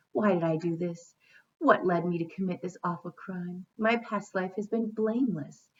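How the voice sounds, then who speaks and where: A woman voicing a proud, upright old male swan who talks in an earnest, self-searching way, on a dull lo-fi recording. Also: on the low side.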